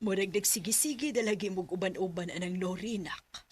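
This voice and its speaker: An actress playing a middle-aged mother gently prodding her grown son in a radio drama, with a Filipino Cebuano accent. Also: medium pitch, moderate pace, music in the background, close to an everyday voice.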